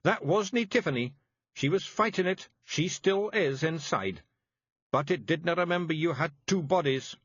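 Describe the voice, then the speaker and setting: A tiny, fierce fairy man with a thick Scottish brogue, barking out orders in a high, gruff voice that swoops wildly as he shouts. The sound is dry and a little dull, with a husky edge. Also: expressive, moderate pace, dry recording. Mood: gruff, reassuring. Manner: gruff, urgent, shouting in broad dialect. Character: small fierce male Scottish fairy leader.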